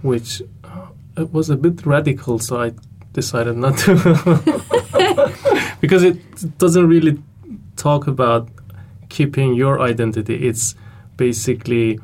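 A man, a panel guest speaking hesitantly with many pauses. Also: medium pitch, sing-song, darkish tone, a little hiss or hum.

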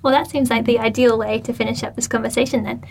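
Gravelly-voiced woman hosting a panel. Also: a little high, steady tone, fast talker, a little hiss or hum. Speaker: radio host.

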